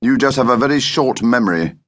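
A man's voice: low-pitched, animated, normal speed, fairly bright tone, husky, no echo, clean studio sound, full character voice. A man playing a grumpy, exasperated storybook dad. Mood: indignant. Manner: indignant, emphatic, dismissive. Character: grumpy, exasperated, stubborn father.

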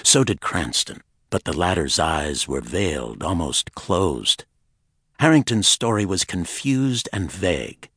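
A man's voice: sits low, sing-song, a little slow, a bit bright, husky, dry recording, clean studio sound. A man reading scripted narration for a pulp mystery audiobook.

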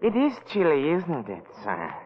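An actor playing a creepy, courteous butler in an old radio mystery, formal, halting and servile. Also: male voice, a little high, huge pitch swings. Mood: deferential. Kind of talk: 1940s radio mystery acting. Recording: muffled, noisy old radio recording.

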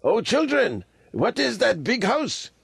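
A man voicing an old Tibetan lama, a gentle, earnest and humble wanderer in a storybook reading. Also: very high-pitched, big pitch swings, slow, gravelly, slightly rough, no echo, clean studio sound, voice pushed up, full character voice. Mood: wondering.

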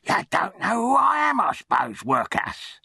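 A man's voice: high voice, huge pitch swings, a little slow, gravelly, pushed and bright, slightly rough, dry recording, studio quality, pitched above the performer's own voice, full character voice. A man voicing a big, self-important teenage bully who talks in a sneering, taunting, pompous way. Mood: loud, bullying.

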